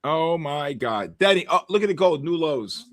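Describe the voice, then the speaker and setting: Loud wisecracking Bronx man. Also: fairly high pitch, animated, normal speed. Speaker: trading show host. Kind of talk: excited live market commentary.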